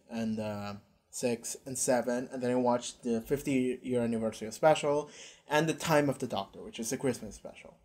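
A man's voice: mid-range voice, darkish tone. Young man, Argentinian accent, laid-back reaction chatter in a small room, headset mic. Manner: rambling, casual, swears often. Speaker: YouTube reactor. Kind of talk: casual reaction commentary. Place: small bedroom with curtains.

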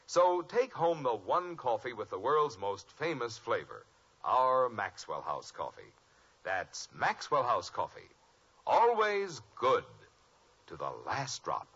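An American man announcing a 1950s radio commercial in a sales-pitch style. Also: big pitch swings, takes it slowly, a bit bright, breathy edge. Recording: muffled old radio recording.

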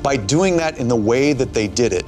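A man's voice: mid-range voice, animated, normal speed. A man giving emphatic expert commentary in a documentary interview. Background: music.

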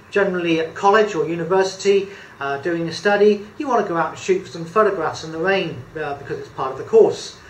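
A man's voice: fairly high pitch, a little hiss or hum. Chatty British man in an echoey room. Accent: British English. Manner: chatty, hesitant with many fillers, good-humoured. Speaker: photography tutor. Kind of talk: casual demonstration tutorial. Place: indoor room at a table. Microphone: camera mic a few feet away. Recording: echoey room with faint background ambience.

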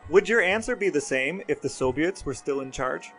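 A man's voice: a little high, lively intonation, moderate pace, fairly bright tone, faint music behind. A middle-aged man co-hosting a podcast, chatting casually and asking questions. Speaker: podcast co-host. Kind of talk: casual chat and interview questions.

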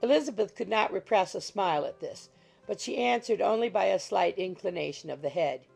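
A woman's voice: mid-range voice, animated, a little fast, creaky, darkish tone, breathy edge. A woman reading scripted literary narration as the narrator of an audiobook. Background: faint music.

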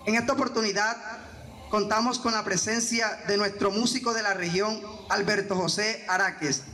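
A man's voice: high-pitched, fairly even intonation, normal speed, pushed and bright. A young man co-hosting, introducing the guest in an announcer-like way and asking questions on a handheld mic in an indoor hall. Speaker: co-host. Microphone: handheld microphone.

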